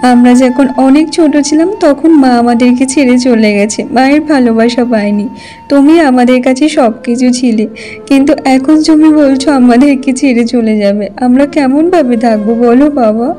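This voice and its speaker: A woman's voice: sits high, normal speed, mellow, faint music behind, lightly acted. A woman playing a devoted, anxious young married village sister in a cartoon folk tale, pleading and worried. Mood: pleading, sad.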